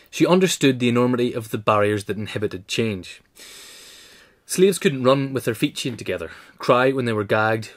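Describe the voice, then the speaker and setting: A man reading a scripted political essay as a narrator. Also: mid-range voice.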